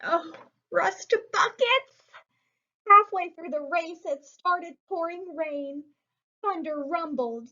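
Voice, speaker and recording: A woman with a very high voice reads a children's story aloud. She takes her time and puts expressive, dramatic weight on the narration, and the recording sounds a bit muffled. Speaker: story reader. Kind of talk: children's picture book read-aloud.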